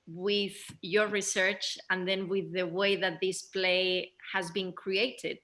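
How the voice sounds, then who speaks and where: Bright, forceful woman moderating a discussion. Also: medium pitch, flat delivery, slow pace. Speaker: panel moderator. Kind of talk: post-show Q&A discussion.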